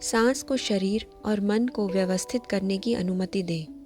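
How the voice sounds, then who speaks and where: A woman with a mid-pitched voice guides a meditation over faint background music. She speaks as an instructor reading a prepared script, with gentle, measured phrasing.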